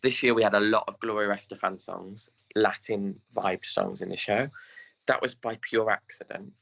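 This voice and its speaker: An English man talking conversationally as an interview guest, animated in his storytelling. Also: lowish voice, normal speed, creaky. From England. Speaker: guest, pantomime director and choreographer. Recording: dull lo-fi audio.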